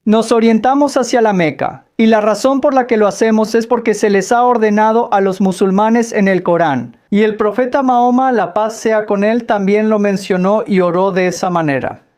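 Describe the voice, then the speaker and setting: A woman leads a question-and-answer lecture with her voice at a middle pitch. She explains points patiently and turns questions back to her listeners. Now and then she drops a light joke. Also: moderate pace, few pauses, darkish tone. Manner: patient, explanatory, quizzes the audience with light jokes. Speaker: speaker answering audience questions on Islam. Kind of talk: Q&A lecture in Spanish voice-over.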